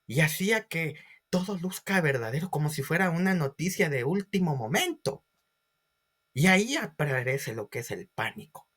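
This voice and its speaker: A man's voice: on the high side, very animated, normal speed, fairly bright tone. A man giving a history lecture as a storyteller, with theatrical emphasis and questions to his listeners. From Ecuador. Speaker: history teacher.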